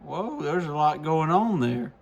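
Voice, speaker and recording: A man with a slightly high voice presents gear in a casual, folksy demo. He sounds enthusiastic and speaks informally, as a shop presenter would to regular viewers. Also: sing-song, measured pace, creaky, dull audio, crowd noise.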